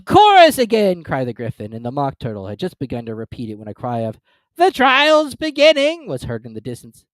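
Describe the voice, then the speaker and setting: A man's voice: mid-range voice, fairly even intonation, quick pace, hardly any pauses, dark tone, no room sound. A man narrating a children's story as a scripted dramatic reading.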